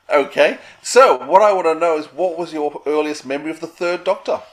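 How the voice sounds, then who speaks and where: A man's voice: on the high side, normal speed. A man, talking casually as a podcast co-host.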